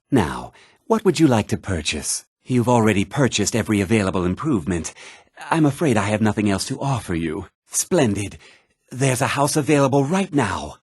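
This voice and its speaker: An actor voicing a haughty, formal middle-aged mage and official in a fantasy video game, speaking precisely and with condescending politeness. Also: male voice, lowish voice, husky, dry recording, studio quality, exaggerated voice. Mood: courteous, businesslike.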